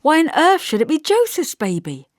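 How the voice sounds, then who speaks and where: A woman's voice: sits high, wildly swooping pitch, normal speed, no room sound, studio quality, pitched above the performer's own voice. A woman voicing an earnest, prickly young woman in audiobook dialogue, indignant, defensive and emphatic.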